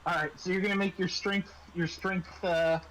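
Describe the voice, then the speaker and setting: A man narrates a tabletop horror game as its game master. He talks casually and haltingly, doubling back on words as he explains rules. There is faint noise on the recording. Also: sits high, level tone. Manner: halting, repeats words and phrases.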